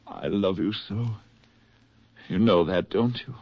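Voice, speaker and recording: A man's voice: deep voice, takes it slowly, no room sound, exaggerated voice. A man acting a hunted, weakened fugitive in earnest 1940s radio drama style. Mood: weak, tender. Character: hunted, fevered, weakened man, tender and fearful for his lover. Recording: muffled vintage 1940s radio recording with music.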